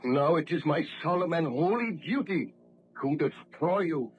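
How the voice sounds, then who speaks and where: An actor playing a tormented, guilt-ridden baron scientist, speaking in a formal, anguished, declamatory way in a 1930s radio horror play. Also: male voice, on the high side, lively intonation, measured pace, faint music behind. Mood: grim, resolute. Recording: noisy old 1930s radio recording.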